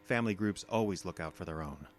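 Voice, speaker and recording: An actor playing a coolly menacing, condescending alien invader in an audio drama, speaking in a measured, threatening way. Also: male voice, low voice, lively intonation, a little hiss or hum. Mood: dismissive.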